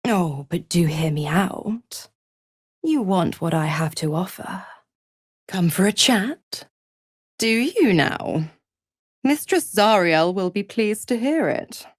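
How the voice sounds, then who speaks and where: A woman's voice: on the low side, expressive, takes it slowly, no room sound, studio quality. An actress playing a seductive, scheming female devil in a video game, mocking and purring with condescending endearments. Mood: sly, teasing. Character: seductive, scheming, gloating female devil who makes pacts. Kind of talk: theatrical game character dialogue.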